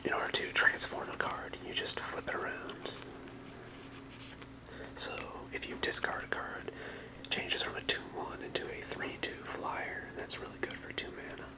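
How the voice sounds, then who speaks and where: A fully whispered voice in the style of a casual ASMR video, with no voicing in it. The speaker rambles softly and hesitantly through a card showcase, sounding apologetic and unscripted. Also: moderate pace, low-quality recording. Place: apartment room.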